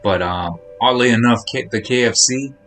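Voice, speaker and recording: A man's voice: mid-range voice, moderate pace, fairly bright tone, soft background music. A man live-streaming a game, rambling casually to his viewers in a chatty way.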